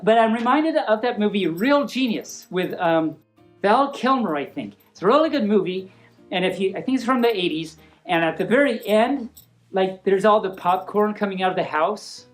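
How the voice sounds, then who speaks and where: A woman reads a story aloud with music behind her. Her mid-pitched voice swings in a lively way. She is informal and playful, wandering off into chatty asides. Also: moderate pace, low-quality recording. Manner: playful, rambling, easily sidetracked. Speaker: storyteller reading a picture book.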